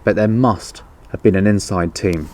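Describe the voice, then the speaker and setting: A man reading the part of a troubled, reasoning uncle in a children's storybook, deliberate and emphatic. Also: low-pitched, darkish tone, close to an everyday voice. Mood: concerned.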